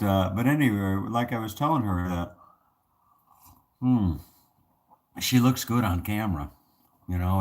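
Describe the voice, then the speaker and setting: A man's voice: low-pitched, darkish tone. A man hosting his own channel, talking casually to the camera with a soothing voice, rambling through many restarts and fillers. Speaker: channel host.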